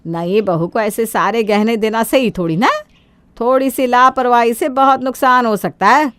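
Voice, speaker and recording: A woman acts out an older mother-in-law from a cartoon moral story. She is greedy and domineering, snapping out sharp-tongued orders in a fairly high voice. The sound is close and dry. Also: fairly even intonation, moderate pace, clean tone, no room sound, lightly acted. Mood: scolding.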